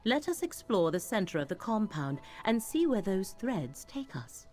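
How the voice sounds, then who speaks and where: A woman acting a solemn, mystical companion in a fantasy video game, speaking in a formal, measured, cryptic way. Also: medium pitch, sing-song, a little mellow, lightly acted. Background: game music.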